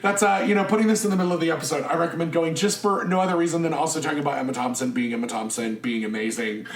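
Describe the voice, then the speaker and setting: A man's voice: on the high side, rapid-fire. Enthusiastic man chatting in an echoey room. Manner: chatty, enthusiastic, many fillers. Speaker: podcast co-host. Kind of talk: casual chat.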